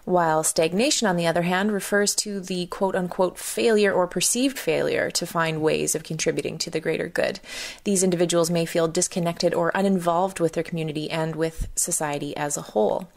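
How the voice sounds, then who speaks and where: A woman hosting a community radio health show, reading a measured, explanatory script. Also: fairly low pitch, steady tone, vocal fry.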